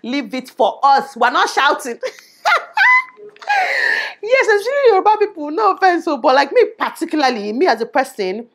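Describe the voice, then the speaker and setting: A woman's voice: sits high, moderate pace, long pauses. Outspoken young Nigerian woman vlogger. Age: young adult. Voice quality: small voice. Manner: animated, opinionated, loud. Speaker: YouTuber. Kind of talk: casual opinion vlog. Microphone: lavalier.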